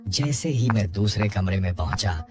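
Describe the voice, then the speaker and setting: A man with a fairly low voice narrates a horror tale. He delivers it as scripted first-person storytelling over soft background music. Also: animated, moderate pace.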